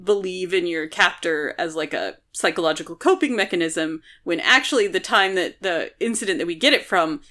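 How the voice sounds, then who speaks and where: A woman's voice: fairly low pitch, lively intonation, normal speed, few pauses, pushed and bright. An American woman co-hosting a podcast in casual discussion, explaining things at length and peppering her sentences with "like". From United States. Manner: explanatory, hedging with frequent "like". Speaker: podcast co-host.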